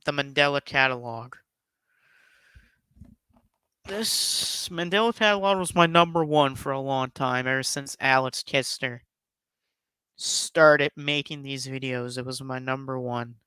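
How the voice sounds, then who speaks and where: A man's voice: medium pitch, a little slow, sharp tone, clear, resonant tone, no room sound. A man hosting a casual, enthusiastic countdown commentary, speaking in an emphatic, effusive and rambling way. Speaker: YouTube host giving a top ten countdown.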